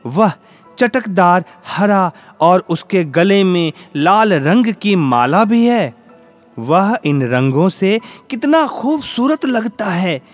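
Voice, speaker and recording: A man voicing a gentle, wistful swan in a children's fable, explaining things earnestly. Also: sits high, full character voice.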